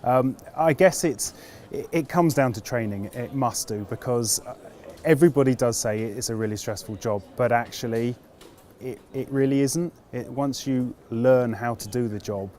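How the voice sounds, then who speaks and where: British male interviewee, air traffic controller, medium-pitched, measured delivery, explains things plainly and with understatement. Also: darkish tone. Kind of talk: reflective interview answers.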